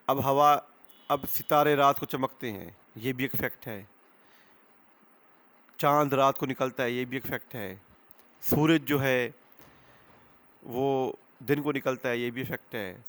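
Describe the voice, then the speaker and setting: A man with a Pakistani accent speaks in a mid-pitched voice, delivering an instructional lecture. He explains with simple examples, repeats his key phrases for emphasis and drops English terms into his sentences. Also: a bit bright. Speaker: advocate giving law lectures.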